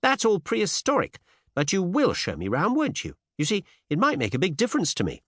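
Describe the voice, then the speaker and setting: A man plays a brash, pert young woman in a period audiobook story. The voice is lifted high and swings widely in pitch. The delivery is emphatic and teasing, full of bold curiosity. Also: huge pitch swings, fairly quick, fairly bright tone, dry recording, studio quality, heavily acted. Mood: bold, coaxing. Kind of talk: audiobook character dialogue with period slang.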